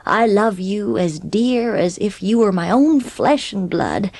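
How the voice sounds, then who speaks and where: A woman's voice: fairly low pitch, a little mellow, no echo. A woman playing an older, stern but loving farm spinster in an audiobook drama, plain-spoken and heartfelt. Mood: tender, confessing.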